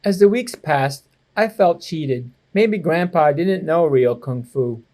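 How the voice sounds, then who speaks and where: Man, slightly high pitch, school educator, children's read-aloud, expressive, clearly phrased. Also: slow. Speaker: assistant principal reading to students.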